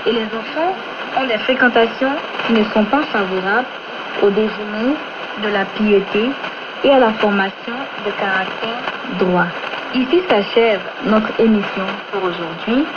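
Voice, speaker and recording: A woman presenting a scripted religious exhortation on the radio, solemn and deliberate in her phrasing. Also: mid-range voice. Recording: noisy, muffled shortwave radio broadcast.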